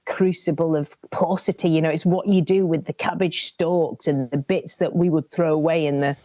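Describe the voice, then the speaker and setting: A woman, talking as a guest on the radio. Her voice sits very low, and she tells her stories in a lively way, often restarting her sentences. The sound is dry and close, with no room around it. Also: normal speed, no echo. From Lancashire, England. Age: 50s. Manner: animated, warm storytelling with many restarts. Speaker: guest, cookery presenter. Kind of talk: radio interview chat.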